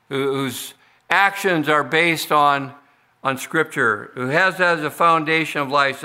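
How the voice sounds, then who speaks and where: A man preaching a sermon, slowly explaining a Bible passage verse by verse with frequent restarts. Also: fairly high pitch, sharp tone. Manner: slow, deliberate expository preaching with frequent restarts. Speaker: pastor.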